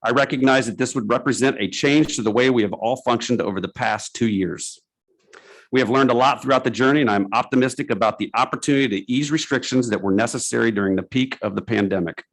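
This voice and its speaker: Gravelly man reading a formal statement. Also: mid-range voice, poor audio. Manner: formal and steady. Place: school board meeting room.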